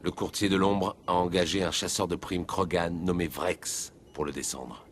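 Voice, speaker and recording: A determined alien officer from a video game, speaks confidently in a low-pitched voice. The delivery is matter-of-fact, with faint ambient noise behind it. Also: male voice, fairly even intonation, moderate pace, a bit bright. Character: keen, determined male alien Turian police officer.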